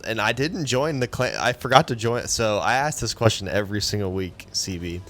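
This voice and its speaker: A man's voice: fairly low pitch, a little fast, a bit bright. A man in his late twenties hosting a podcast, chatting in a friendly, jokey way. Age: late 20s. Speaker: podcast host. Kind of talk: casual chat.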